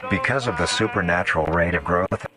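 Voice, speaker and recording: Deep low guy narrating fake nature documentary horror, formal n measured, music behind him.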